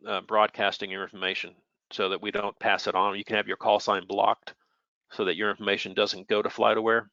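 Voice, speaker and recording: Bright-voiced man explaining, dry dull audio. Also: lowish voice, dry recording. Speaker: air traffic controller, guest expert. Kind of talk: informal technical explanation with slides.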